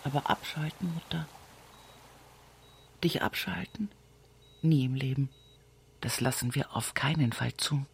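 An actress playing an earthy, stubborn, devout widow from the village in an intimate radio monologue, with a husky, breathy voice and an Upper Palatinate Bavarian accent. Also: female voice, rumbling low, measured pace, pauses often, slight background noise, exaggerated voice. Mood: defiant. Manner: earthy, folksy storytelling at a bedside. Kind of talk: intimate radio drama monologue in rural vernacular.

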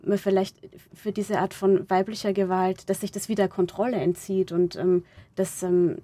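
Halting, reflective woman in a dry recording. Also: medium pitch, little pitch movement, mellow, no room sound. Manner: halting, searching for words, self-correcting. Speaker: interviewed playwright discussing own audio drama. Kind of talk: reflective interview conversation.

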